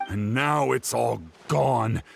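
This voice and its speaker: Plaintive, slightly creaky scholar uncle. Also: male voice, medium pitch, takes it slowly, soft background music. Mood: dejected. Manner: plaintive, melodramatic. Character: humble, woebegone middle-aged scholar uncle, dramatic and pleading. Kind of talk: video game character acting.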